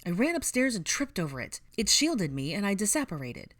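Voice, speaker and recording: A woman's voice: lowish voice, steady tone, moderate pace, close to an everyday voice. A woman voicing an earnest young woman in an audiobook story, speaking emphatically. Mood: indignant. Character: young woman, earnest, quick, indignant when accused.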